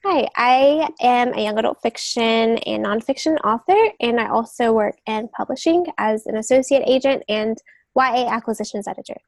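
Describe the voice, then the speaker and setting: Higher-voiced woman explaining on a podcast. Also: normal speed, dry recording. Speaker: podcast co-host, literary agent. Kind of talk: explanatory conversational podcast talk.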